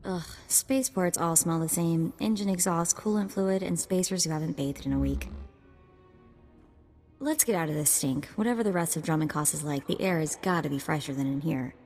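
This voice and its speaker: Husky, sarcastic sidekick. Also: female voice, low-pitched, normal speed, a little mellow, big-room sound, soft background music. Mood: wry. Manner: wry, casual. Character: streetwise, sarcastic young female sidekick.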